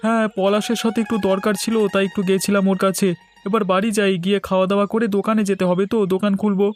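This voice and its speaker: Polite cartoon village shopkeeper played by a man, unusually high male pitch, brisk, faint music underneath. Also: little pitch movement, natural voice. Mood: polite, friendly. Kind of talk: Bengali cartoon dialogue. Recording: light music behind the voice.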